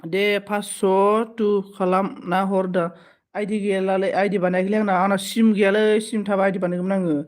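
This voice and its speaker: High-pitched man hosting a chatty live stream. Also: little pitch movement, moderate pace. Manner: switches between Bodo, Hindi and English phrases; repetitive thanks and requests for support. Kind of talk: casual live stream chat with viewers.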